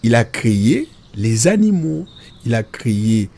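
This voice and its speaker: Husky man playing a patient cartoon father. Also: medium pitch, very animated, measured pace, mellow, full character voice. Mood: patient, instructive. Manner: didactic, explains definitions step by step. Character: patient, instructive father explaining nature and God to children.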